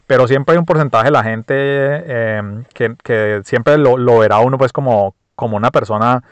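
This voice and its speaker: A man's voice: medium pitch, moderate pace, clean tone, no room sound, muffled recording. A man with a Colombian accent hosting a podcast, talking casually and reflectively with many fillers and restarts. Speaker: podcast host. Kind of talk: casual personal monologue.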